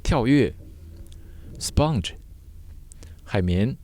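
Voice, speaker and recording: A man teaching vocabulary word by word in measured, short separated phrases, as a scripted lesson. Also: medium pitch, animated, dark tone, no echo.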